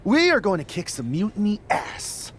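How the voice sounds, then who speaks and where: A man's voice: high-pitched, huge pitch swings, normal speed, fairly bright tone, a little hiss or hum, heavily acted. A man playing an earnest, casual pro wrestler in a video game, talking wryly and persuasively to a best friend. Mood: upbeat, determined. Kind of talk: cinematic game dialogue, casual.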